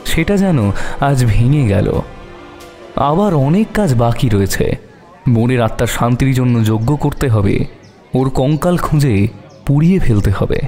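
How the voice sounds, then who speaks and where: A man's voice: medium pitch, mellow. A man narrating a scripted horror audio story in a dramatic storytelling style. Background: music.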